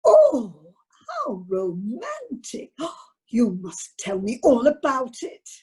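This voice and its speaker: A woman playing a fussy, chatty retired wife with a northern English accent and a husky voice in an amateur radio comedy. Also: fairly high pitch, huge pitch swings, slow, mellow, exaggerated voice. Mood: excited, curious. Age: older. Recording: online video meeting audio.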